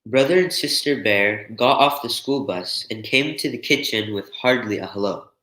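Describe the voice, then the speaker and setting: A man's voice: mid-range voice, lively intonation, moderate pace, pushed and bright. A man reading a children's picture book aloud as a storyteller. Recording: echoey room.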